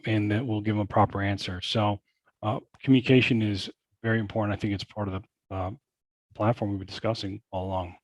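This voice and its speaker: Earnest low-voiced man, dry sound. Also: a little mellow, dry recording, muffled recording. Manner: earnest, repeats phrases, many fillers. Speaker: school board candidate. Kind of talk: panel Q&A answer.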